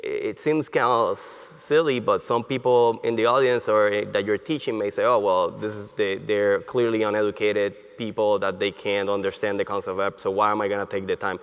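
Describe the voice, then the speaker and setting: Deep monotone guy giving a talk, lots of uhs, big echoey hall. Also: clean tone. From born in Colombia, grew up in Puerto Rico. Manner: halting, many fillers and restarts. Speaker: neurologist, conference speaker. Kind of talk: conference lecture. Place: conference hall. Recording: long hall reverb.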